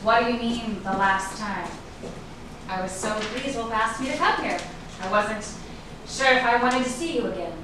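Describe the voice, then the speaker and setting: A woman's voice: fairly high pitch, normal speed, close to an everyday voice. A woman playing a worldly, reproachful actress in a theatrical staged reading, with a pointed delivery. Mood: poised, wary. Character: worldly, wary actress, an old flame, reproachful. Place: indoor room at a live staged reading. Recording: echoey room, distant mic, noisy background hiss.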